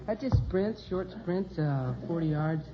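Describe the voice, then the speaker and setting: American male teenager, casual short replies, muffled vintage radio sound, clearly noisy recording. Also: a little high, lively intonation, measured pace. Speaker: high school student contestant. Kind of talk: brief casual interview answers.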